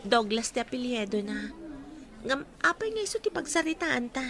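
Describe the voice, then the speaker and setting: A woman's voice: on the high side, big pitch swings, moderate pace, crowd noise. An actress playing an impulsive young woman wounded in love, in a radio drama. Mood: apologetic, hurt. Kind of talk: Ilocano radio drama acting.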